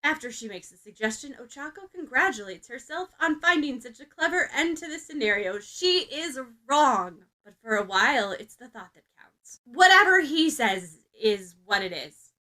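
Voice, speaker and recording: A woman's voice: high voice, animated, normal speed, few pauses, bright, forceful tone, low-quality recording. A woman narrating scripted fiction expressively, as a podfic reader.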